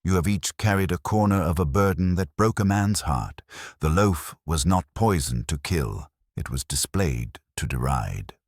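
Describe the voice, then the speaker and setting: A man's voice: deep voice, lively intonation, a little slow, dry recording, studio quality, heavily acted. A man voicing an imperious, cerebral detective in an audiobook, pompous, formal and commanding as he passes cold judgement. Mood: stern, judging.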